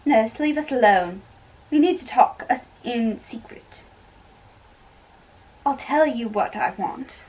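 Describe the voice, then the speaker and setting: Performer as a noble mother, stern, secretive, lower hushed delivery, very slow, amateur play reading. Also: recorded from a distance, poor audio.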